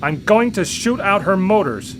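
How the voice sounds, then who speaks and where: A man's voice: high-pitched, animated, a little slow, noisy background, pitched above the performer's own voice. A man voicing a brave, determined young rescue pilot in an audio story, speaking with urgency. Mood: excited, urgent. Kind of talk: audio story character acting.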